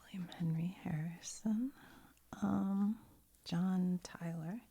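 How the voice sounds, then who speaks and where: A woman whispering softly, mostly unvoiced, while she sorts and reads cards with chatty, giggly asides. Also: on the low side, takes it slowly, gravelly, slight background noise. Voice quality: soft whisper, often unvoiced. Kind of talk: whispered ASMR card sorting.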